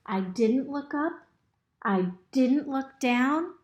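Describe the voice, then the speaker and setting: A woman reading a picture book aloud to young children in an expressive, instructive way, pausing to ask them simple questions. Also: sits high, faint music behind. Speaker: storybook reader. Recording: roomy, echoey indoor recording.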